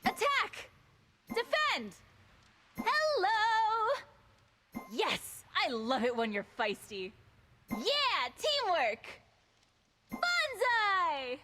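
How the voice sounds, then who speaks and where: A woman's voice: extremely high pitch, wildly swooping pitch, very slow, pauses often, over music, full character voice. A woman playing a feisty, cocky young female fighter in video game voice lines, punchy and teasing. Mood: spirited, teasing.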